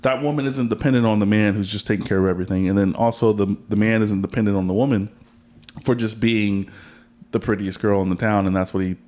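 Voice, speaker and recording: A man's voice: on the low side, steady tone, quick pace, darkish tone. Rambling man around thirty, chatting casually. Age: about 30. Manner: rambling, jokey, slangy. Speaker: podcast host. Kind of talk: casual conversational podcast chat.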